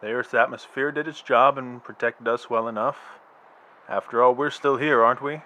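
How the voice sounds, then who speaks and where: An actor playing a male astronomer who explains things in a measured, slightly hesitant way. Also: mid-range voice, steady tone, sharp tone, lo-fi sound, close to an everyday voice. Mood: reassuring. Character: calm, reassuring male astronomer.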